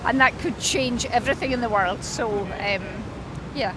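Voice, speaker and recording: A woman with a Scottish accent and a slightly high voice answers a street interviewer. She weighs her words in a matter-of-fact way as traffic sounds clearly around her. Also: moderate pace. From Scotland. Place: city street outdoors.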